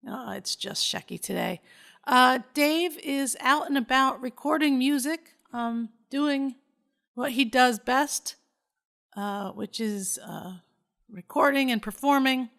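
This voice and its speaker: A middle-aged woman hosts a podcast on her own, talking at an unhurried pace. Her voice is bright and clean, and she rambles casually with plenty of fillers. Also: high-pitched, creaky. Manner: chatty, rambling, many fillers. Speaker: podcast host. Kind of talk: casual solo podcast chat.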